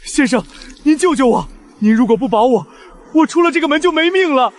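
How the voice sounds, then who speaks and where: A man acting a cowardly, desperate underling in an animated melodrama, pleading frantically. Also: extremely high pitch, fairly quick. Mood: panicked pleading. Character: cowardly, desperate male underling begging his boss.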